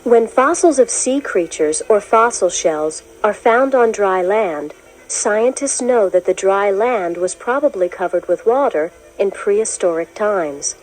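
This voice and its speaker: Woman, children's read-along narrator, scripted educational delivery, animated intonation, clear storytelling manner, music behind. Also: medium pitch, unhurried, rough recording.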